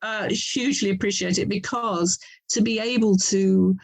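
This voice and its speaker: A woman's voice: mid-range voice, steady tone, normal speed, vocal fry. A middle-aged woman with an English accent talking casually as an interview guest, thoughtful and chatty. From England. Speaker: writer and audio drama producer, interview guest.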